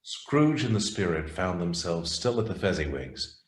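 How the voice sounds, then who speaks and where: A man's voice: sits low, sing-song, moderate pace. A man playing a storybook narrator, reading scripted narration in an amateur radio play. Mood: storytelling. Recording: roomy, echoing sound.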